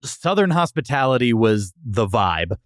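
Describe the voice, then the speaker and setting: Animated man bantering on a comedy podcast. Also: mid-range voice, unhurried, dry recording, clean studio sound. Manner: playful, mock-strict banter. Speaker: podcast host. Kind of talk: casual comedic review chat.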